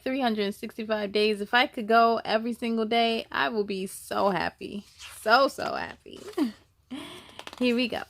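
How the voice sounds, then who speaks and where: High-pitched, unhurried woman reading a children's story. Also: no echo, studio quality. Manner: animated, exclaiming, gasping and musing, asking listeners questions. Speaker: story-time host reading a picture book aloud to children. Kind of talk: expressive children's read-aloud with chatty asides.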